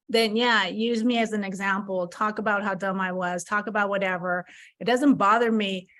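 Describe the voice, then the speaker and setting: A woman's voice: medium pitch, a bit bright. A woman in her fifties, a podcast guest, talking candidly about her past mistakes and often repeating words as she restarts. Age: 50s. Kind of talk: candid conversational interview.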